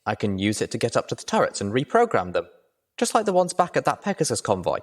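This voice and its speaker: A man's voice: medium pitch, huge pitch swings, fast talker, voice pushed up. A man voicing an earnest, anxious young female pony heroine in an audio story. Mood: urgent, hopeful.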